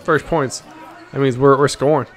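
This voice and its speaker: A man's voice: mid-range voice, steady tone, normal speed, some chatter behind. A man giving casual, slangy gameplay commentary, cocky and playful with plenty of trash talk. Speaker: gaming video host.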